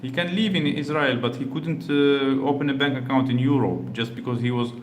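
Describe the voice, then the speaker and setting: A man's voice: mid-range voice, fairly even intonation, fairly quick, roomy sound, slight background noise. A man from Algeria hosting a reaction video, talking casually with many fillers and restarts.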